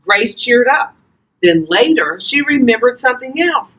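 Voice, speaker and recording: An older woman reading a children's storybook aloud expressively, talking directly to young listeners in a husky voice. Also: a little high, animated, measured pace, fairly bright tone, rough recording. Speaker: volunteer grandparent reading to children.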